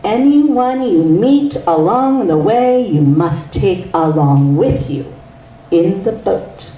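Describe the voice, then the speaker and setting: A woman storyteller voicing a calm, magical wise old woman who speaks gently. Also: medium pitch, slow, muffled recording, slight background noise. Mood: gentle. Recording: roomy distant mic, faint ambience.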